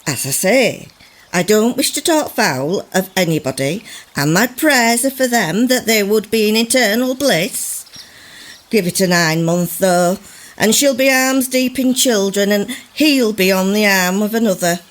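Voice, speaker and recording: A woman's voice: mid-range voice, unhurried, lightly acted. A woman playing a sanctimonious village gossip of olden times, confiding and insinuating in an archaic radio drama monologue. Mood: sly, insinuating. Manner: confiding, mock-pious, insinuating.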